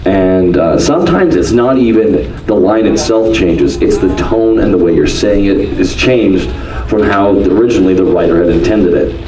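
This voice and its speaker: A man's voice: low-pitched, normal speed, few pauses. A man talking casually and jokingly on a convention panel, recorded from the audience in a large hall. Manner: joking, animated. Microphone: table mic, recorded from the audience. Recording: echoey hall from far off, audience noise.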